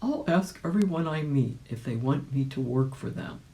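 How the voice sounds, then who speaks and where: A polite old gentleman rabbit from a children's storybook, played by a woman. The voice is soft-edged and warm with lively swings in pitch. He sounds earnest, kindly and eager to be useful. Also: normal speed, low-quality recording.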